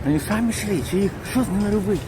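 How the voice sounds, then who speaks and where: Ukrainian-accented man, passerby, high voice, rambling, music in background. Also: racing, rough recording. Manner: rambling, mixes Ukrainian and Russian. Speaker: passerby in a street interview. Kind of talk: street interview answer.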